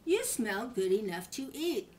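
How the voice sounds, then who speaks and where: A woman's voice: a little high, sing-song, measured pace, darkish tone, a little hiss or hum. An older woman voicing hungry farm animals in a children's storybook, calling out urgently. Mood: eager, urgent. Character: hungry farm animals: rabbit, cow, horse.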